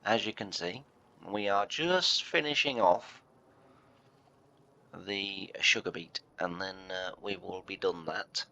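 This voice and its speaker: Croaky, congested deep-voiced man. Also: takes it slowly, sharp tone, muffled recording. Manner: rambling, hesitant, full of "uh" and restarts. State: congested and croaky from a chest cold. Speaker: gameplay commentator. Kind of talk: casual let's-play commentary.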